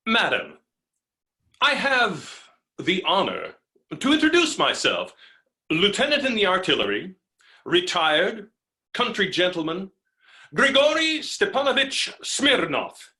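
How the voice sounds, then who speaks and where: A man playing a blustering retired artillery officer and country gentleman in a staged comedy reading, with a pompous, formal, forceful manner. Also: sits high, wildly swooping pitch, slow, pauses often, exaggerated voice. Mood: pompous insistence.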